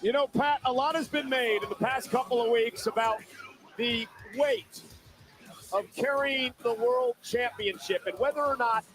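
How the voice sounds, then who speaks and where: A man's voice: extremely high pitch, moderate pace, a bit bright. A man calling a wrestling match play-by-play in a polished broadcaster's voice, played from a TV broadcast. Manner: smooth broadcaster delivery, hyping the match. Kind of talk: live sports commentary. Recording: broadcast feed played under a stream with noise.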